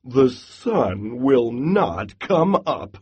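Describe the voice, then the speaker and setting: A big, bragging bear who drags out every word, as a man puts him on. The voice sits low and a little husky, and it swings widely as he boasts. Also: big pitch swings, a little mellow, heavily acted. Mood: boastful. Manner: slow, deep, booming brags.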